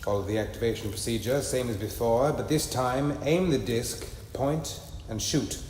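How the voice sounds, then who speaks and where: A man's voice: medium pitch, moderate pace, darkish tone, lightly acted. Man playing a curt, echoey scientist. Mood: dry, instructive. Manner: formal, precise, sarcastic. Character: curt, condescending adult male inventor of interdimensional machines. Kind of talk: sci-fi comedy audio drama acting. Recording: echoey hall, faint background noise.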